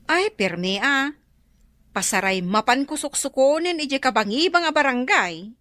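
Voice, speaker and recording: A scheming, nosy neighbour woman in a radio drama. She has an Ilocano accent and a high, sharp voice that swings up and down as she gossips and pushes. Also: expressive, a little slow, dry recording, heavily acted. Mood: gossiping.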